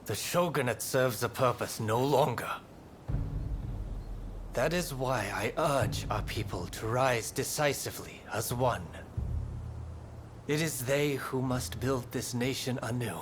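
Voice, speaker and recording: A man's voice: medium pitch, measured pace, noisy background, close to an everyday voice. An actor playing a defiant, idealistic male rebel scholar in a video game, speaking in a firm, oratorical way. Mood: defiant, resolute. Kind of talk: video game cutscene acting.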